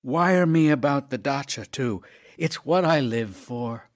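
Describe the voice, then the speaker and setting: An actor as a wry, ailing writer, slow and expressive. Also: male voice, mid-range voice, lightly acted. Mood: brisk, eager. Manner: theatrical, wry, intimate, shifting from emphatic to hushed. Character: ailing, wry, tender male writer writing love letters. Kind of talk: audio drama acting, reading letters aloud.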